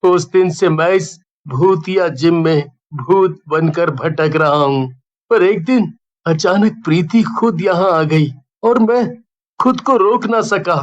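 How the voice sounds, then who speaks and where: A man voicing a gentle, lovestruck young ghost in a horror cartoon, speaking earnestly and wistfully. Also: high voice, takes it slowly, dull audio. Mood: wistful, confessing. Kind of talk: Hindi horror cartoon dubbing.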